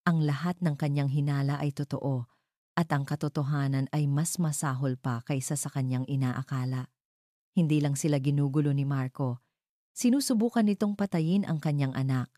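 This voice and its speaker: A woman's voice: low-pitched, dark tone, no room sound, studio quality. A woman reading a scripted dramatic story as the narrator.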